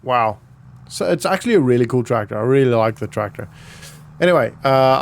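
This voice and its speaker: A man's voice: mid-range voice, a little hiss or hum. A man doing casual, chatty roleplay vlog commentary over a farming video game, thinking aloud in an informal way. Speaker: gaming vlogger and host.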